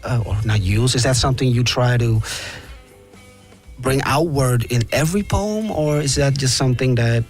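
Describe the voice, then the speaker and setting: A man's voice: medium pitch, moderate pace, a little mellow. A man hosting a radio interview, talking conversationally and explaining things to the listeners at home. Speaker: radio host. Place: radio studio. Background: faint background music.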